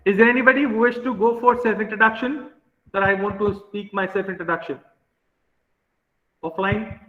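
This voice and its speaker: A man's voice: high voice, fairly even intonation, normal speed. A man with an Indian accent giving classroom instruction as an English teacher, often checking with a short question. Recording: tinny phone-like audio, echoey room.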